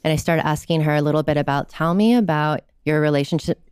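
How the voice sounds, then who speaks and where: A woman therapist talking as a podcast guest, telling client stories in a lively way and acting out quotes. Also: low-pitched, fast talker, clear, resonant tone, no room sound, studio quality. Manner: animated storyteller, acts out quotes. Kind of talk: conversational interview.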